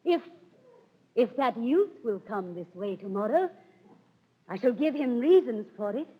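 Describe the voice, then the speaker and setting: A theatrical lovelorn young noble in a 1930s radio play of Shakespeare. The delivery is grand and declamatory, on a dull, old recording. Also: female voice, fairly high pitch, sing-song, a little slow, slightly rough, full character voice. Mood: smitten, agitated.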